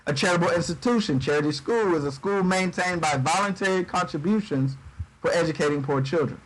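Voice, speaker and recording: A man giving livestreamed Bible teaching in a relaxed preaching style, reading scripture aloud and riffing on it, often repeating words as he goes. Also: a little high, brisk, low-quality recording. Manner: conversational preaching, reads scripture aloud and riffs on it; uses Southern-style 'y'all', stumbles and repeats words. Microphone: livestream microphone.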